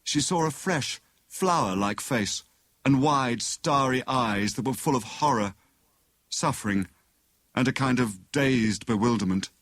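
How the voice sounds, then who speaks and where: A man with a British accent narrating a detective story in a measured, dramatic storytelling manner. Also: mid-range voice, fairly bright tone, no room sound. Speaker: audiobook narrator.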